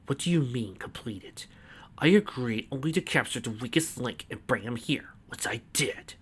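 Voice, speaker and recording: A man voicing a grim male enforcer who speaks low, clipped and cold as ice. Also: expressive, normal speed, husky.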